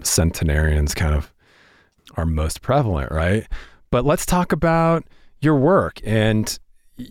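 A man's voice: low voice, a little mellow, no room sound, studio quality. A man hosting an interview podcast, asking long, rambling, enthusiastic questions with many fillers. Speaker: podcast host.

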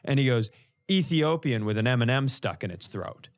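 A man with a mid-range voice and a very lively, swinging pitch. He tells stories casually as a comedy podcast co-host, acting out what people said in an animated way. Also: normal speed. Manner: animated, acts out quoted lines. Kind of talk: casual comic storytelling.

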